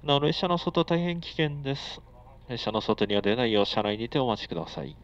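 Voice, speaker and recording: A man's voice: mid-range voice, lively intonation, brisk. A man making formal, polite train announcements as the conductor, heard over an onboard speaker. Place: inside a moving commuter train. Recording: muffled onboard speaker with noise. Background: faint train and air-conditioning noise.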